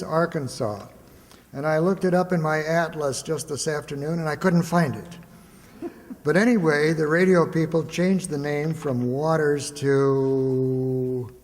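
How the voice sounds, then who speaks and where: A man hosting a live show, giving an informal, reminiscing introduction in a folksy way. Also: a little high. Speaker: stage host introducing a show. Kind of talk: informal spoken introduction to an audience. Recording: reverberant hall, live stage.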